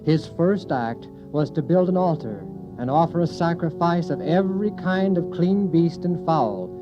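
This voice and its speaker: A man's voice: fairly high pitch, expressive, a little slow. A man narrating a scripted, dramatized Bible story for children in storybook style. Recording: dull lo-fi recording with clear music.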